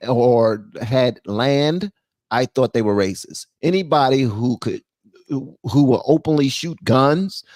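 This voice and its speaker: A man's voice: medium pitch, slow, darkish tone, no echo, clean studio sound. A man hosting a live call-in stream, chatting casually and informally, addressing his guests like buddies. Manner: informal, calls guests brother, man, babe.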